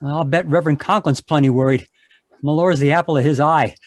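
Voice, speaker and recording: A man's voice: fairly high pitch, steady tone, dry recording, clean studio sound, natural voice. A man voicing an eager, friendly young sled driver in an old-time radio adventure. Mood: friendly, hurried. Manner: hurried, friendly.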